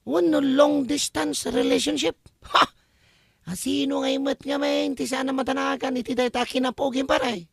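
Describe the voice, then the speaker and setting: A man's voice: very high voice, level tone, normal speed, vocal fry, no room sound, studio quality, full character voice. A man voicing a teasing, gossipy male buddy with jeering exclamations in an Ilocano comedy audio drama. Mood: amused teasing.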